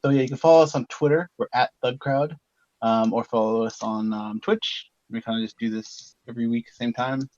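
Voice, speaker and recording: A man's voice: mid-range voice, fairly even intonation, a little fast, a little mellow, no room sound, highs cut off. A man hosting a podcast in casual, chatty conversation. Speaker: podcast host. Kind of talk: casual chat.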